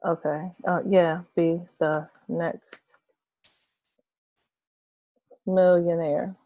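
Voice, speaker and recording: A woman in her thirties, hoarse from losing her voice, casually explaining an online tutorial with many fillers and restarts. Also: lowish voice, level tone, extremely slow, dark tone, no room sound, dull audio. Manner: rambling, hesitant, many fillers. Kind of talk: casual screen-share tutorial. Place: small room with red curtains, indoors.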